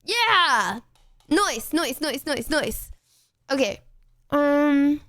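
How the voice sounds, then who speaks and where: A young British woman streaming a game, chatting casually with her chat and breaking into playful, excited exclamations. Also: sits high, very slow, dry recording. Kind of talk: casual gameplay commentary to chat.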